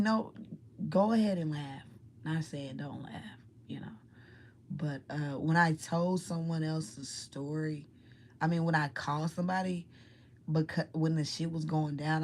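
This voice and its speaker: A woman telling a story to her viewers in a soft, low whisper, rambling and using casual slang. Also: a little hiss or hum. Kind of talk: whispered ASMR-style storytelling.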